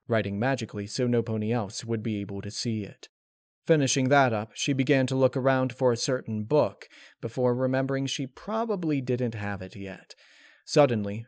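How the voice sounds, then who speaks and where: A man narrates a story in a scripted storytelling style. His voice sits at a medium pitch, recorded close and dry with no room sound. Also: darkish tone, no room sound. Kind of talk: scripted narration of fan fiction.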